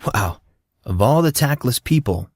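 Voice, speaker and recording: A man's voice: medium pitch, animated, unhurried, no echo, clean studio sound. A man voicing a fourteen-year-old boy who is polite, slightly hesitant and wryly playful in an audiobook.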